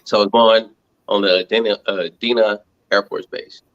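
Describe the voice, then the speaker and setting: Low-fi, reflective man. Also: steady tone, fairly bright tone, lo-fi sound. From Montgomery, Alabama, United States. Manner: halting, thinking aloud. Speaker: reality show contestant interviewed as guest. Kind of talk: casual reflective interview answers.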